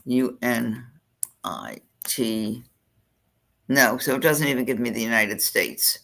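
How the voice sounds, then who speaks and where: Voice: medium pitch, lively intonation, takes it slowly, gravelly, sharp tone. A college admissions counselor giving a screen-share walkthrough of an application form, thinking aloud while clicking through the fields.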